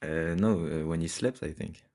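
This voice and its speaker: A man's voice: low voice, normal speed, a little mellow. A man from Morocco with a Moroccan accent, calling into a live debate and talking hesitantly with many fillers. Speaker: debate caller.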